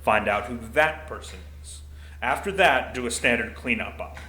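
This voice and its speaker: A man playing a gruff, middle-aged, desk-bound security commander in an audio drama, blunt and dryly bureaucratic. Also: medium pitch, normal speed, bright, forceful tone, lightly acted. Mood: stern. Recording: roomy echo, faint noise.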